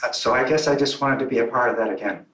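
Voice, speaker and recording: A man's voice: medium pitch, fast talker, fairly bright tone. A man speaking to a club meeting with enthusiasm, repeating his phrases for emphasis. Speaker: club member. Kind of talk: informal speech to a club meeting. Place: meeting room. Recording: roomy sound from a distant mic.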